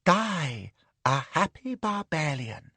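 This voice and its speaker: A man voicing a drowsy, capricious young imperial concubine who purrs her words slowly. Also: fairly high pitch, big pitch swings, bright, forceful tone, husky, no echo, voice pushed up, heavily acted. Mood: languid.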